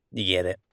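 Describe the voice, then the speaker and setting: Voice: medium pitch, vocal fry, pushed and bright, no room sound, clean studio sound, full character voice. An actor playing a chatty, sardonic ghost roommate in a roleplay audio, talking in a casual, teasing, exclamatory way. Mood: wry, chatty. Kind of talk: roleplay audio acting, conversational.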